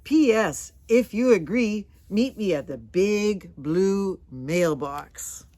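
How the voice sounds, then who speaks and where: A woman voicing a friendly storybook letter carrier seeking a companion, reading with warm, deliberate emphasis. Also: medium pitch, animated, no echo. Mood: tender invitation. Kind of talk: storybook character voice, letter read aloud.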